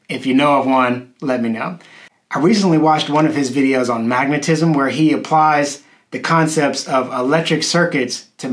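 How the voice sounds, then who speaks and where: A man's voice: mid-range voice, fairly bright tone. A man in his thirties hosting a video, explaining things casually to his viewers while seated in a small room. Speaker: YouTube host. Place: small indoor room, seated at a wooden table.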